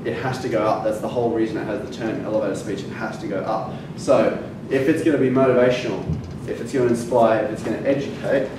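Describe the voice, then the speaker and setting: A man's voice: lowish voice, fairly even intonation, fast, hardly any pauses. A male fitness educator giving a classroom lecture, emphatic and punching key words, with frequent fillers. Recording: very echoey room from far off, noisy.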